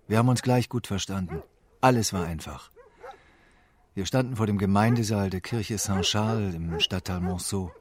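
A man's voice: low-pitched, natural voice. An actor playing a weary, embittered homeless man, narrating with brooding reflection in an intimate radio drama. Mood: wistful. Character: weary, embittered homeless man mourning his best friend.

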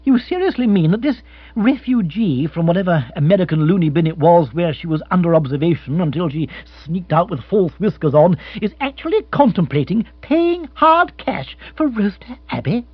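Man playing blustering young lord, swooping high voice. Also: very animated, normal speed, breathy edge, heavily acted. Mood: incredulous. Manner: blustering, exclamatory upper-class slang. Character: exasperated young English lord, blustering and incredulous. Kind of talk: comic audiobook character acting. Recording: muffled, echoey recording with hum.

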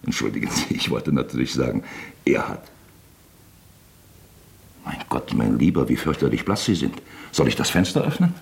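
Deep-voiced, sly, swooping interrogator. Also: male voice, big pitch swings, normal speed, creaky, pauses often, exaggerated voice. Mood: falsely solicitous. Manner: insinuating, teasing, falsely chummy, theatrical. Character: wily, talkative, mock-genial examining magistrate toying with suspect. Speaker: actor in a radio drama. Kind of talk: German radio drama acting.

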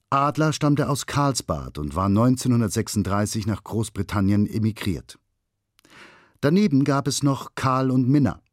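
A man's voice: lowish voice, no room sound. A man reading scripted documentary narration as the narrator in a studio. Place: dry studio.

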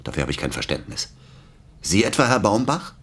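An actor playing a nervous, reluctant male accomplice in a radio play, speaking haltingly in a husky voice. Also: on the low side, big pitch swings, a bit bright, full character voice. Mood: indignant. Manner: hesitant, halting, repeating himself.

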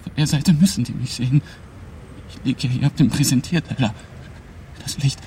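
A man's voice: mid-range voice, normal speed, mellow, a little hiss or hum, natural voice. An actor playing a desperate, frightened man in hiding in a radio play, urging and pleading to himself. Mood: tense, desperate.

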